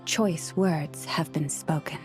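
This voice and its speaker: A woman playing a gentle, composed young woman in a video game, with measured, articulate and gently wry delivery. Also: deep voice, music in the background. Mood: dry, wry. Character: gentle, composed young woman who understands animal speech.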